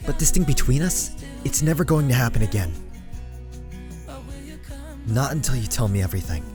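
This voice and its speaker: A man's voice: medium pitch, expressive, moderate pace, music in the background, close to an everyday voice. A man playing a young man betrayed by secrets, accusing and emotional, in amateur roleplay acting. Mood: hurt, accusing.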